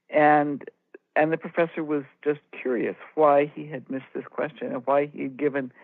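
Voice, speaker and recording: A woman co-hosting a talk show, sharing reflections in a measured, halting way with pauses mid-phrase. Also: deep voice, no echo. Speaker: radio show co-host. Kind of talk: reflective anecdotal talk radio discussion. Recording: tinny, narrow phone-like line.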